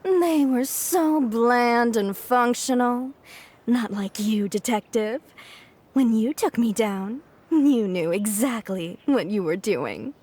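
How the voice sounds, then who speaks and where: Game role: coy, theatrical young female swindler, high and very animated, teasing and pouty. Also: takes it slowly, husky, exaggerated voice. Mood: coy reproach. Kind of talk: video game voice acting.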